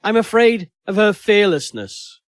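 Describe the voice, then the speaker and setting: A man's voice: high voice, very animated, measured pace, no room sound, clean studio sound, pitched above the performer's own voice. A man voicing an anxious, wounded man in a troubled romance from an audio story, uneasy and defensive. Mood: fearful.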